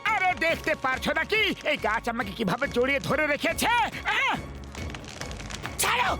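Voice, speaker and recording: An actor voicing a panicky cartoon sidekick man who yells and pleads. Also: high-pitched, very animated, normal speed. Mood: frantic. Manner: frantic yelling, pleading. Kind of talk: Bengali cartoon dub. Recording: loud cartoon music underneath.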